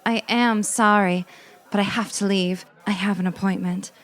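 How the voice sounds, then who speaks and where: A woman's voice: medium pitch, steady tone, normal speed, close to an everyday voice. A woman playing a polite young lady lodger in an old-time radio comedy, apologetic in manner.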